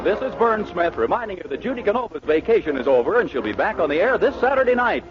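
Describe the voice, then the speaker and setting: A male 1940s radio show announcer with a fairly high, lively voice. He reads scripted copy on a muffled recording with music behind. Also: fast talker.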